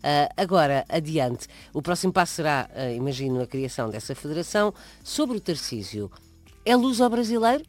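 Male host, higher register, steady interviewer. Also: brisk, faint music behind. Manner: guiding, summarizing questions. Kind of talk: broadcast interview.

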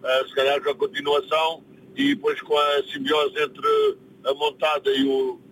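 A man's voice: on the high side, huge pitch swings, moderate pace, fairly bright tone, a little hiss or hum, low-quality recording. A man from Portugal with a European Portuguese accent, speaking as a guest in a casual radio chat. He is hesitant and easygoing and often repeats his words.

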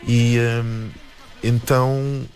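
A man's voice: medium pitch, flat delivery, drawn-out words, darkish tone. A man with a European Portuguese accent, a guest in a studio interview, talking thoughtfully with many restarts and tag questions. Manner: hesitant, many restarts and "não é?" tags. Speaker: guest, musician and anthropologist. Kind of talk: reflective interview talk. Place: radio studio.

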